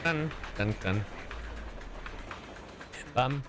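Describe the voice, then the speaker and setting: A man's voice: mid-range voice, expressive, extremely slow. A man streaming a video game with casual, playful commentary, sometimes reading text aloud. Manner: playful, profane, hums to himself. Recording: game music behind the voice.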